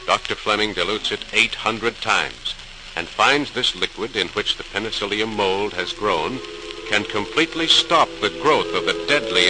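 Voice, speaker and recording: A man's voice: on the low side, lightly acted. A man narrating a 1940s radio drama as a solemn, suspenseful storyteller, theatrical and emphatic, on a crackling old recording. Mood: expository, building. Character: solemn, suspenseful male storyteller of scientific discovery.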